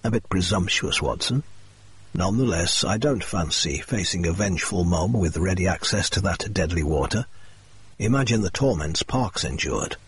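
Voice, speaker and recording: A man voicing a calm, dry, precise male detective, measured and composed in a slightly lowered husky voice. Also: full character voice.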